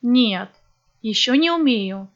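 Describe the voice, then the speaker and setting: A woman's voice: fairly high pitch, animated, takes it slowly. Woman reading textbook dialogues, lo-fi. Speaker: language course dialogue reader. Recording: dull lo-fi audio.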